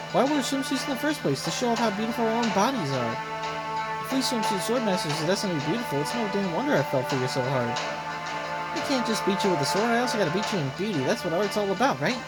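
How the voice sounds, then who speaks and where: A woman's voice: medium pitch, natural voice, low-quality recording. A woman voicing an earnest, brash young female sword fighter in an anime game, eager and blunt. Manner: eager, blunt, plain-spoken. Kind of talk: voiced reading of anime game dialogue. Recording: loud game music behind voice.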